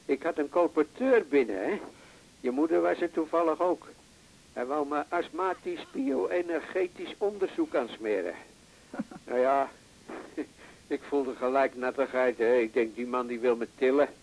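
A man's voice: on the high side, a little slow, a little mellow, rough recording. An actor playing a chatty, boastful, jovial elderly male patient in a radio drama, with a folksy way of talking. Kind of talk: naturalistic radio drama acting.

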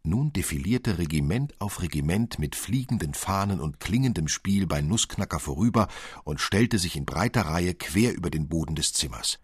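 A man narrating a literary fairy tale for radio as an expressive storyteller. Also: low-pitched, moderate pace, husky, dry recording, studio quality.

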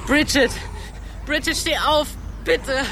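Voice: high-pitched, fairly even intonation, slow pace, bright, forceful tone, slight background noise, exaggerated voice. An actor playing a young boy, a caring and earnest older brother, in a radio play. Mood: pleading, encouraging. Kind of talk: German radio play acting.

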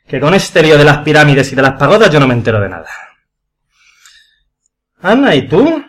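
A man's voice: fairly high pitch, wildly swooping pitch, fairly quick, a bit bright, heavily acted. A man from Spain acting an exasperated, bewildered betrayed lover in a radio farce, agitated and colloquial, with a Spanish accent. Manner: agitated, colloquial, repeating himself. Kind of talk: radio play comedy acting.

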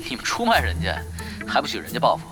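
A man's voice: medium pitch, wildly swooping pitch, fast talker. An actor playing a cool, shrewd and shameless male veteran gamer in an audio drama, with a nonchalant, teasing manner. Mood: nonchalant, sly. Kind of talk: Chinese audio drama acting. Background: background music.

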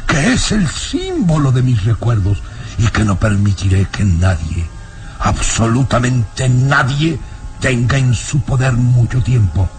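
An actor playing an irascible old baron in a vintage radio serial, speaking formally and emphatically with indignant menace. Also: male voice, mid-range voice, sing-song, unhurried, a little hiss or hum. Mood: furious. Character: irascible, imperious old baron, nervous castle lord. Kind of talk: vintage Spanish-language radio serial acting.

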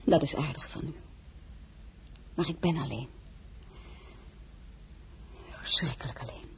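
An actress playing a dignified, lonely crown princess, frank and wistful, speaking intimately in a dramatic audio play. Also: female voice, deep voice, warm tone, a little hiss or hum. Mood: lonely, tender. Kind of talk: dramatic radio play acting.

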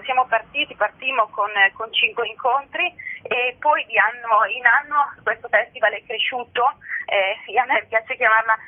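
Woman festival director, radio guest by phone. Husky, fairly high voice, earnest and learned, hesitant phrasing. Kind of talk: radio phone interview, reflective explanation. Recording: phone-line radio interview sound.

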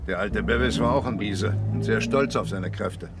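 A somewhat deep male voice from an actor plays a self-assured investigator in a radio mystery. He is grave and deliberate as he reasons. Music plays clearly behind him. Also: lightly acted. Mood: grave, resigned. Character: sober, brooding, self-assured male investigator, Gardner's partner. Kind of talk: radio play dialogue.

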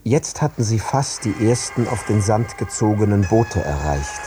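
Low-voiced man reading prose over music. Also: expressive. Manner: measured, descriptive, phrase by phrase. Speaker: reader. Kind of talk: literary prose reading in a radio play.

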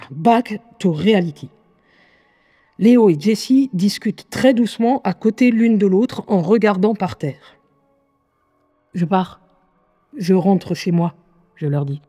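A woman's voice: medium pitch, animated, takes it slowly, long pauses, warm tone, slightly rough, dry recording, faint music behind. An actress playing a weary, sardonic woman who narrates her diary in a confiding way in a French radio drama. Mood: weary, wry. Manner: wry, exasperated, confiding.

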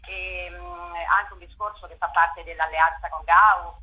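A woman's voice: fairly low pitch, steady tone, unhurried, phone-quality sound, a little hiss or hum. A woman curator discussing her project in a radio interview, reflective and argumentative. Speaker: festival curator.

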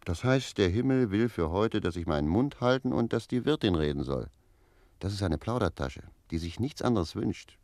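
An actor playing a talkative, cheeky, stubborn manservant in a German radio play. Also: male voice, lowish voice, lively intonation, moderate pace, a little mellow, no echo. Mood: sulky. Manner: chatty, argumentative.

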